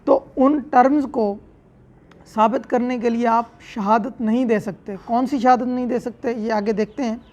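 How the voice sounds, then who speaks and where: A woman's voice: fairly high pitch, darkish tone. A woman with a Pakistani accent giving an explanatory law lecture, methodically restating each point as a teacher would. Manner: didactic, repeats and rephrases each point. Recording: dull, muffled lo-fi audio.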